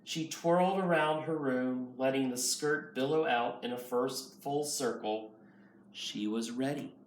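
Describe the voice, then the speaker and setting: A man's voice: medium pitch, unhurried. A man reading a children's picture book aloud as a nursery school teacher. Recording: roomy, far from mic, faint noise.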